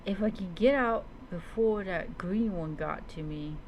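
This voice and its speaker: A woman's voice: on the low side, unhurried, dull audio, slight background noise. A woman doing in-character commentary as she plays a roleplay adventure game, musing dramatically.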